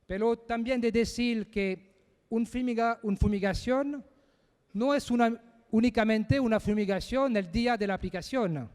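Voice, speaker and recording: A man giving a technical conference presentation, speaking haltingly as he searches for words in a second language. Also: extremely high pitch, a little mellow, smooth, big-room sound.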